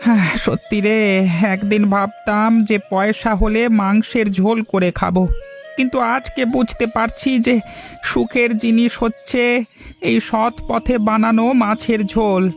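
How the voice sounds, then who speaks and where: An actor voicing a humble older village father in a cartoon, gentle and moralizing. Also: sits high, little pitch movement, a little slow, creaky, faint music behind. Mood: content. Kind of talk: cartoon voice acting.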